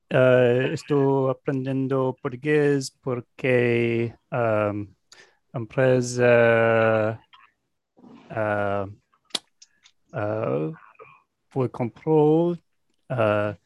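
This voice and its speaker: A man with an American English accent speaking halting beginner Portuguese on a video call, pausing often with long filler sounds. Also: medium pitch, steady tone, smooth, no room sound, lo-fi sound. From New Jersey, United States. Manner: hesitant, many drawn-out filler sounds. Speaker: Portuguese learner. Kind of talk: halting beginner speech in a language class.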